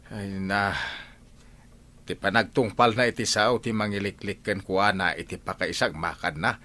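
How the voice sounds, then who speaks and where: A man's voice: fairly low pitch, fairly even intonation, normal speed, sharp tone. A man with an Ilocano accent acting an exasperated, critical village grandfather in a radio comedy drama. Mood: grumbling.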